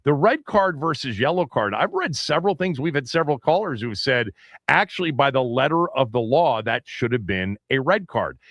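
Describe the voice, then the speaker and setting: A man's voice: medium pitch, sing-song, normal speed, a bit bright, no room sound, lo-fi sound. A man hosting a sports call-in radio show, taking calls and pressing callers with pointed questions. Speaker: radio sports talk host.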